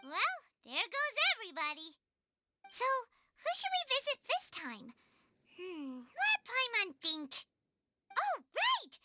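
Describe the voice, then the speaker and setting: A woman voicing a tiny, excitable fairy companion in a video game, with a squeaky childlike voice and exclamatory delivery. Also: slow, very noisy, exaggerated voice. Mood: pondering. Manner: exclamatory, refers to self by name.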